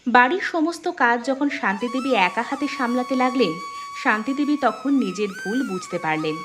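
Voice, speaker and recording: A woman's voice: high-pitched, huge pitch swings, fairly quick, music in the background. A woman narrating a scripted children's story.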